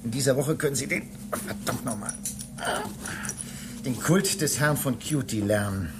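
An actor playing a talkative, excitable space station technician in a German radio drama, lecturing his colleague in an insistent, teasing way. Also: mid-range voice, moderate pace, music in the background, lightly acted. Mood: excited, persuasive. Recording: clear background noise behind dialogue.

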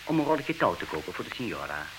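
A deferential manservant in an old radio play. He speaks politely and formally in a lowish voice, a little hesitant. Noticeable hiss covers the recording. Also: big pitch swings, quick pace. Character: deferential, apologetic household manservant, dutiful and formal. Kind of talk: comic radio play acting.

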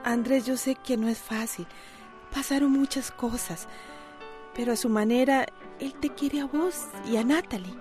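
A Costa Rican woman playing a gentle, understanding mother in a radio soap, tender and expressive. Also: on the high side, measured pace, soft background music, lightly acted. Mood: concerned, tender. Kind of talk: radio soap opera acting.

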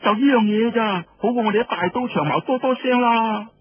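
A man's voice: sits high, fairly quick, husky, voice pushed up. A man voicing an eager, headstrong teenage son of a rebel king in an audio story, pleading and arguing. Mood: indignant pleading. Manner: pleading, argumentative. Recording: dull lo-fi audio, faint noise.

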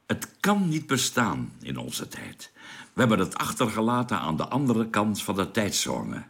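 A man's voice: lowish voice, huge pitch swings, moderate pace, vocal fry, fairly bright tone, heavily acted. An actor playing a knowing space crewman in a radio drama, explaining things patiently. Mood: calm, certain.

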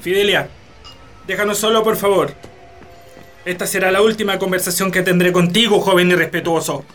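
Stern chilean hacienda boss, mocking and menacing, highish forceful voice, radio play. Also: male voice, level tone, normal speed, soft background music. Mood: indignant. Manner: haughty, threatening, scornful. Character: stern, wrathful, mocking hacienda patron and father. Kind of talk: Spanish-language radio drama acting.

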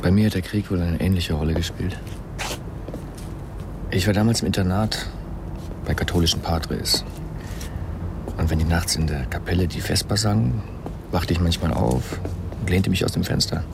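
A man acting in a radio play as a suave, curious astronomer with playful vanity and a gently ironic way of talking. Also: low-pitched, normal speed, creaky, pauses often, noisy background. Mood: reflective.

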